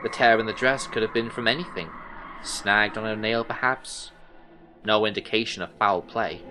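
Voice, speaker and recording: A man playing a troubled detective who narrates an eerie horror audio drama in the first person, in a measured, brooding way. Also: mid-range voice, pushed and bright, a little hiss or hum, low-quality recording. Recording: music under the voice. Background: music soundtrack.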